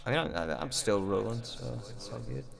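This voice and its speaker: A man's voice: medium pitch, normal speed, vocal fry. A man chatting casually between takes in a voice recording session. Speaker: voice actor.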